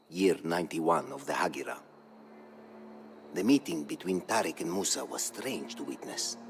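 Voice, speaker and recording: An actor playing a proud, solemn Berber chronicler, narrating a video game campaign in a grave, measured, formal manner. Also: male voice, sits low, vocal fry. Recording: studio voice-over with music behind.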